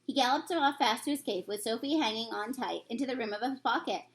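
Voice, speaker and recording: A woman's voice: on the high side, a little fast. A woman reading a children's storybook aloud as a teacher would, with occasional stumbles.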